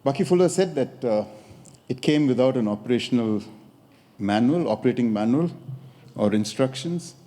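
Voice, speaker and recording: A man in his sixties from India with an Indian accent gives a measured, pausing conference talk. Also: medium pitch, lively intonation, warm tone. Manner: measured, pausing with occasional hesitations. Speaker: design academic giving a talk. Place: lecture hall at a podium. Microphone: podium microphone. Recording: echoey hall.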